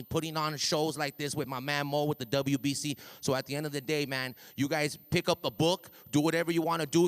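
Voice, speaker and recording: A middle-aged man from East Los Angeles, a ring announcer with a loud hype-man voice, giving a motivational talk to students over a handheld mic, repeating and restarting words as he goes. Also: on the high side. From East Los Angeles, USA. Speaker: ring announcer speaking at a school assembly. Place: school auditorium. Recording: reverberant hall.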